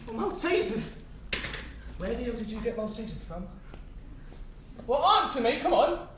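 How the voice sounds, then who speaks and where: A man's voice: very high-pitched, very animated, moderate pace. A man acting a defiant, cheeky young newcomer in an afterlife stage play, blunt and exasperated. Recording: echoey stage, distant mic, clear noise.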